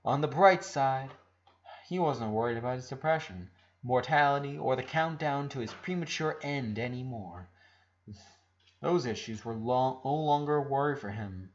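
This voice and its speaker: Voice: mid-range voice, moderate pace, highs cut off. A narrator giving a dramatic reading of prose fiction.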